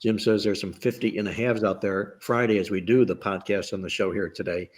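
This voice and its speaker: A man's voice: lowish voice, fairly even intonation, fairly quick. A man hosting a sports betting podcast roundtable, chatty and stat-heavy, joking along the way while he is losing his voice. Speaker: podcast host.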